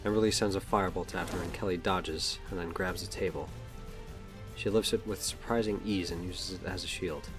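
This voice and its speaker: Casting: male narrator for a scripted superhero audio drama, deep voice, faint music underneath. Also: steady tone, brisk.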